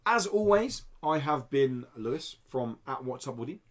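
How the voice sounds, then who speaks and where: A man's voice: mid-range voice, lively intonation, normal speed. A man with a mid-range voice hosts a casual podcast. He talks informally, speaking straight to his listeners as he goes. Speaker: podcast host. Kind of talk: casual podcast chat and sign-off addressed to listeners.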